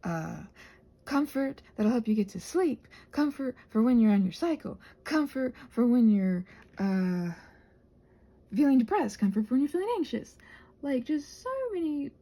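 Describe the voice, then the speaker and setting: A woman with a fairly high, mellow voice chats in a casual ASMR ramble. She thinks aloud softly and meanders, poking fun at herself, with a noticeable fry in her speech. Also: big pitch swings, normal speed. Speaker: ASMR creator rambling while crafting.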